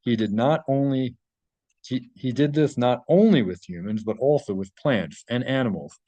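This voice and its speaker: A man's voice: medium pitch, moderate pace, mellow, dry recording, dull audio. A man reading a translated article aloud and adding his own informal commentary.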